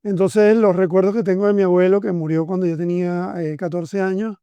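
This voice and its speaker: A man's voice: sits high, flat delivery, a little fast, mellow, smooth, no echo, highs cut off. A man, hosting a podcast interview and speaking with effusive enthusiasm. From Venezuela. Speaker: podcast host. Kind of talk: conversational interview.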